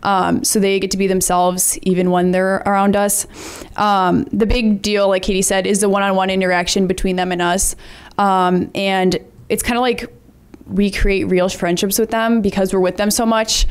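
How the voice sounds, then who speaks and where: A teenage girl talks to a board about her class, loud by her own account. She keeps her talk loose and casual, with plenty of ums and likes between her points. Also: mid-range voice, level tone, normal speed, clean tone. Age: teens. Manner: chatty, many ums and likes; says she has a loud voice. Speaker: student PE leader. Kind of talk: presentation to a school board.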